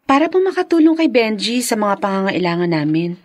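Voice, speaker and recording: An actress with a Filipino accent playing a practical, gently chiding young wife and mother in a radio drama. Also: mid-range voice, very animated, fairly quick, no room sound, studio quality. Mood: polite, earnest.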